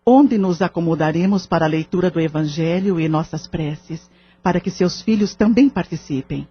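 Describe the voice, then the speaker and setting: A woman playing a calm, authoritative leader of a spiritist group in an audio drama, measured and gently firm. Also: fairly low pitch, breathy edge. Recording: dull lo-fi audio. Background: background music.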